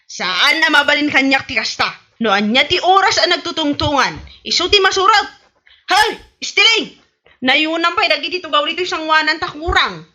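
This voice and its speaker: A woman's voice: high-pitched, lively intonation, moderate pace, pushed and bright. A woman with an Ilocano Filipino accent playing a vain, bossy president of a village women's club in a radio comedy drama, haughty and imperious. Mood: impatient, bossy.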